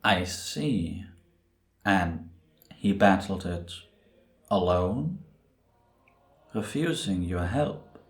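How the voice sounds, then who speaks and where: A man playing Death as a grave, gentle reaper who speaks in a measured, deliberate way in an audio roleplay. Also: sits low, expressive, exaggerated voice. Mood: calm, reflective. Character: solemn, gentle male Death, a reaper who was once human. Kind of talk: cinematic audio roleplay acting. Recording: roomy, reverberant sound.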